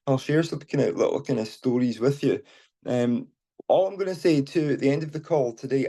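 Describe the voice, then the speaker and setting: A man's voice: medium pitch, dark tone. A man with a Scottish accent, a charity team lead, giving an informal unscripted talk over a mobile phone to an online meeting, with lots of fillers. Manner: informal, with many fillers and restarts.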